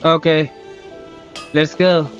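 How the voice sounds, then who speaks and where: A woman's voice: low-pitched, little pitch movement, takes it slowly, highs cut off, soft background music. A woman live streaming a game, throwing in casual reactions as she plays. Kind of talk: casual reactions while gaming.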